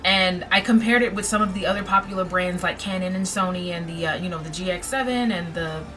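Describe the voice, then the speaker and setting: A woman's voice: fairly low pitch, fairly quick, a bit bright, far from the mic, faint music behind. A woman in her thirties vlogging casually to camera in her bedroom, with a projecting voice. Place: dim bedroom with string lights. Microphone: built-in camera mic.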